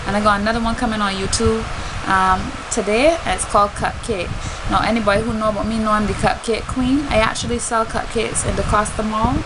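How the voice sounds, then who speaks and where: Woman, Bajan accent, mid-range pitch, outspoken, emphatic, casual vlog talk, noticeable background noise. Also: fairly even intonation, brisk. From Barbados. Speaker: singer and spoken word artist.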